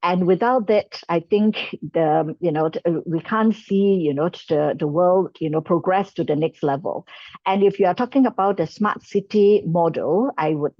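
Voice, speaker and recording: A woman from Hong Kong speaking as a panelist at an online conference, talking haltingly with many fillers and restarts. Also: fairly low pitch, dry recording, highs cut off. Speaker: chief sustainability officer, panelist. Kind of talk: conference panel talk over video call.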